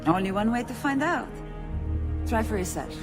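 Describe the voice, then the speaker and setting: Young female thief played by a woman, Italian accent, blunt and cheeky, highly animated game dialogue. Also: moderate pace, over loud music, close to an everyday voice. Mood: brisk, instructing. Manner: blunt, teasing, slips into Italian. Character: brash, foul-mouthed young Venetian woman thief, teasing, streetwise. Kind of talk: video game voice acting.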